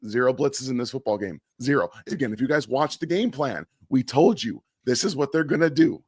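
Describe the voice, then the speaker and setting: A man's voice: mid-range voice, expressive, a little fast, a little mellow, breathy edge, no echo, studio quality. Sick man losing his voice. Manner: blunt, repeats key points for emphasis. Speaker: co-host analyst. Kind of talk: post-game sports analysis.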